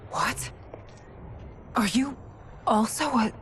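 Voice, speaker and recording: An actress playing a regal warrior princess in a video game cutscene, formal and earnest, often dropping to a hush. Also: female voice, a little high, slow pace, a little hiss or hum. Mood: confused.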